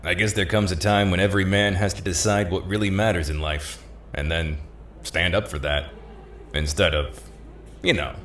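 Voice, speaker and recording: A man's voice: low voice, steady tone, moderate pace, a bit bright, a little hiss or hum. An actor with an American accent playing a world-weary thief with a conscience in an audio play, talking in a folksy, tough-guy way. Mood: reflective, casual. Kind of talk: audio drama acting.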